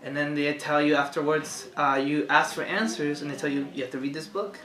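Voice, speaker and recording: A young man from Chile, speaking as an interview guest in a soft, hesitant way, with many fillers, in a room lined with bookshelves. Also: on the high side, fairly even intonation, fairly quick. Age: young adult. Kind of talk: conversational testimony. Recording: echoey room, faint hiss.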